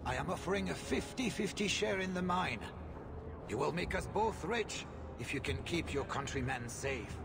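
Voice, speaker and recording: A man voicing a shrewd, money-minded mine owner with a brisk, dealmaking manner in a video game. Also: on the high side, lively intonation, gravelly, heavy background noise. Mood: businesslike.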